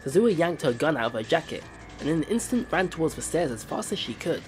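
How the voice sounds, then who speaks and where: A man reads story narration from a game aloud, trying lines again when he trips. His voice is a bit high and swings up and down a lot. Game music plays clearly behind him. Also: very animated, quick pace. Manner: reads with small stumbles and restarts. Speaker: let's play narrator. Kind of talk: reading game text aloud.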